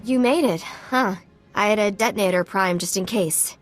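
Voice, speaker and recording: A woman's voice: medium pitch, normal speed, natural voice. A woman playing a wry, wary underground informant in a video game, dry and matter-of-fact. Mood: dry, informative. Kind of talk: video game dialogue acting.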